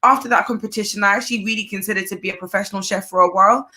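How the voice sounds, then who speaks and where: A young woman chef from Zimbabwe, chatting casually as an interview guest, warm and quick to laugh. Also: medium pitch, steady tone. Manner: chatty, laughs easily, uses fillers.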